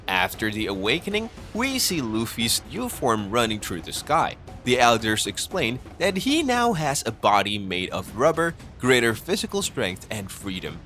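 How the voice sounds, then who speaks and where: A man narrates a scripted explainer in a mid-pitched voice. His intonation is very animated, rising and falling often. Faint music plays behind him. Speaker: narrator of an anime explainer video.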